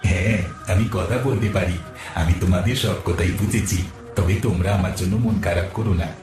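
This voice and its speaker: A gentle, grateful whale from a cartoon fairy tale, acted by a man. The voice is very low and calmly reassuring, heard distant in heavy echo with clear music behind. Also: a little fast, natural voice. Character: magical young whale, gentle and grateful, giving gifts. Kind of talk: cartoon voice acting.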